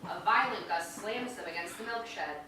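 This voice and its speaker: A woman reads stage directions in a bright, forceful voice. She is heard at a distance in a very echoey room, with faint noise. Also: medium pitch, normal speed. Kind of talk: scripted narration. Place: indoor venue, staged reading. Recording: very echoey room from far off, noisy.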